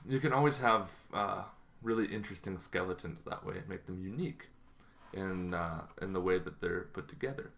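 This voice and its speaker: A man in his thirties hosting a casual explanatory gaming vlog, talking in an informal, halting, conversational way. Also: sits low, gravelly, a bit bright. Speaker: tabletop gaming video host. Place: small bedroom at home, indoors.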